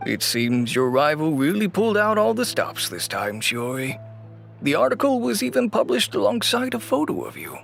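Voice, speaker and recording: A weary, wry shopkeeper. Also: male voice, high-pitched, sing-song, creaky, exaggerated voice. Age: middle-aged. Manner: measured, wry, sighing. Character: weary, cautious middle-aged male shop owner. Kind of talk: video game voice acting. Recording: game audio with soft music. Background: soft game music.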